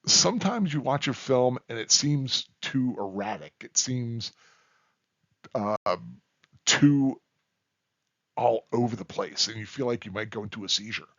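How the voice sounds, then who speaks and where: Male reviewer, slow and halting delivery, off-the-cuff ramble with emphatic stresses, slightly dull recording. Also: medium pitch, animated, fairly bright tone, dry recording. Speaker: film reviewer. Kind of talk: unscripted rambling review to the listener.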